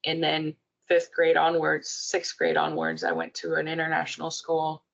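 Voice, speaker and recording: Brazilian woman in her 40s, Portuguese native, low with vocal fry, slightly muffled recording, reflective interview guest. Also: sing-song, normal speed. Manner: thoughtful, many fillers and restarts. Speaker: clinical psychologist guest. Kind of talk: podcast interview, personal story.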